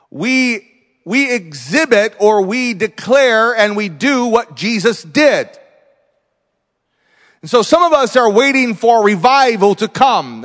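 A man preaching as a visiting missionary, telling healing stories and declaring loudly, rising to exclamations. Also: sits high, in a large hall. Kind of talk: pentecostal sermon.

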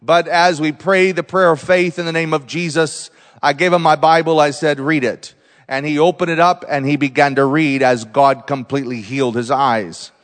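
A man preaching as a visiting missionary, telling healing stories and declaring loudly, rising to exclamations. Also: fairly high pitch, steady tone, normal speed, in a large hall. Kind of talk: pentecostal sermon.

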